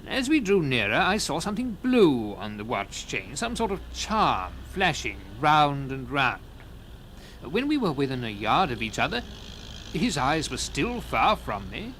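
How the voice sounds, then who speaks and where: A genial gentleman narrator in an audio drama, with a slightly high voice that moves in lively swings. He is sociable and inquisitive, telling a literary tale expressively over faint background noise. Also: full character voice. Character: sociable, curious gentleman narrator recounting an encounter.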